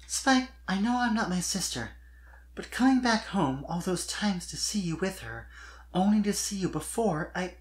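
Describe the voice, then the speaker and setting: A performer voicing a sweet, shy but earnest young female pony in a fan fiction reading, soft-spoken and tentative. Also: mid-range voice, animated, moderate pace, voice pushed up, close to an everyday voice. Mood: shy, confiding.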